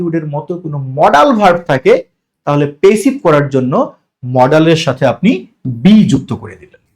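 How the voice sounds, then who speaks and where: Lively Bengali man teaching grammar. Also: high voice. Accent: Bangladeshi Bengali. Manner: explains step by step, asks and answers his own questions. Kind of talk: classroom-style grammar lesson.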